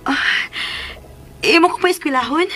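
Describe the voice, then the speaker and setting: Higher-voiced, humble young Cebuano woman. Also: moderate pace, sharp tone, faint music behind. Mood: dutiful, gentle. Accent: Cebuano Filipino. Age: young adult. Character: humble, hesitant young working woman named Dulce. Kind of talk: radio drama acting.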